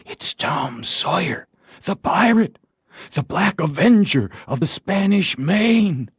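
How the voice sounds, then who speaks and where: A man acts out a romantic, self-pitying boy from a storybook. The delivery is slow and theatrical, full of yearning, with big swings in pitch. Every phrase is drawn out for melodrama. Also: big pitch swings, slightly rough, dry recording, studio quality, heavily acted. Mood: awed, proclaiming. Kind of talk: acted storybook dialogue.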